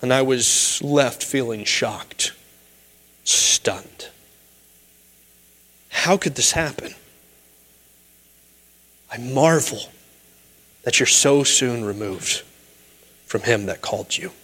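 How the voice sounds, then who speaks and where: A man's voice: medium pitch, slow pace, pauses often, bright, forceful tone. A man preaching a sermon as pastor, pleading earnestly with his congregation and then telling a story with humorous asides.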